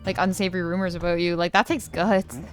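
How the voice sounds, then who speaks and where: A woman's voice: on the low side, fairly even intonation, fast talker. A woman giving casual, reactive gaming commentary, repeating herself and swearing now and then. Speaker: let's player. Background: game music.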